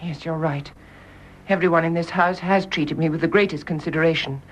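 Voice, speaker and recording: A woman's voice: low-pitched, fairly even intonation, fairly quick. A woman with a British accent playing a grave, stubborn, wounded nurse in a radio drama, speaking in a clipped, formal, emphatic way. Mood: resolute, formal. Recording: dull, muffled radio drama recording.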